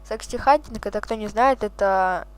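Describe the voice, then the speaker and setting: A man's voice: sits high, normal speed, clean tone, no room sound. A man hosting a gaming channel, chatting casually and swearing as he reacts to the game. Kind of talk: casual let's-play commentary.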